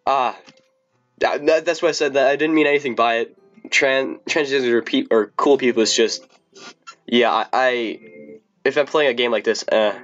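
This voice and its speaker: A man's voice: moderate pace, a bit bright, highs cut off, soft background music. A sick man live-streaming, chatting casually to viewers and stumbling over his words. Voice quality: deep voice. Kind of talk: casual live-stream chat and reading aloud.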